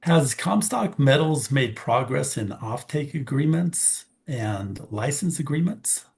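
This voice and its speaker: A man moderating an investor Q&A, reading out questions in a measured, businesslike way. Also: medium pitch, animated, creaky, a little mellow.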